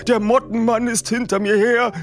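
A man's voice: very high-pitched, level tone, moderate pace, soft background music, voice pushed up. A man voicing a frightened elderly man of nearly eighty who stammers and gasps for breath. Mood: nervous.

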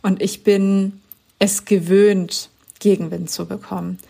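A young woman speaks with earnest emphasis as an author in a podcast interview. Her voice sits at a medium pitch, and she often rushes through phrases. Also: level tone, vocal fry, dark tone. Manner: earnest, emphatic, quick explaining. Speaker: author guest.

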